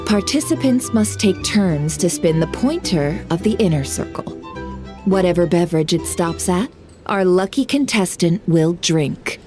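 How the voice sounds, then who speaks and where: An actress playing a composed, shrewd and secretive woman in a video game, speaking in poised, formal, measured phrases. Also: lowish voice, lively intonation, music in the background. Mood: poised, explanatory. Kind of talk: video game story dialogue.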